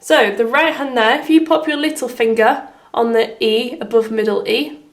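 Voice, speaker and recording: A woman with a slightly hoarse voice giving step-by-step piano instruction as the host of a tutorial. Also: on the high side, normal speed. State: slightly hoarse from having been unwell. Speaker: piano teacher and video host. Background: piano playing.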